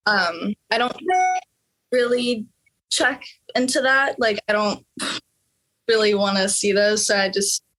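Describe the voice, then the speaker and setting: A teenage girl from Norway talks through interview questions in a casual, unhurried way. She hesitates often, filling gaps with fillers and starting sentences over. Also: medium pitch, fairly even intonation, a bit bright, rough recording. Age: teens. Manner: hesitant, many fillers and restarts. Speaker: interview guest, young musician.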